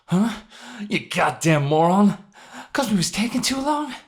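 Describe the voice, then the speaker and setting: A man voicing a wounded, guilt-ridden robber in a dramatic story, bitter and grieving, with hoarse, folksy, sweary speech. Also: sits high, sing-song, measured pace, voice pushed up, heavily acted. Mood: angry, accusing. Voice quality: hoarse, breathy at times. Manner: rough folksy talk, swearing, sighing, talking to a dead partner. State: pained, worn out.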